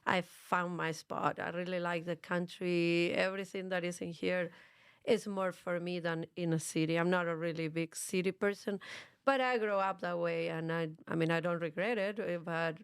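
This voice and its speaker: A woman's voice: on the low side, fairly even intonation, moderate pace, smooth, dry recording, studio quality. A woman from Argentina with a strong Argentine Spanish accent, Spanish as her first language, chatting casually as an interview guest and often repeating words. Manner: repeats words, laughs at herself. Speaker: guest, gym coach.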